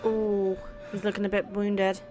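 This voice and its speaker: A woman's voice: mid-range voice, level tone, a little slow, muffled recording. A woman gaming creator giving casual, informal, reactive let's play commentary. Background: faint game music.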